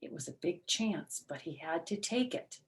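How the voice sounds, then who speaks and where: A woman narrating a children's story aloud in an expressive, dramatic and deliberate way. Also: fairly low pitch, low-quality recording. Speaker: storyteller reading a picture book aloud.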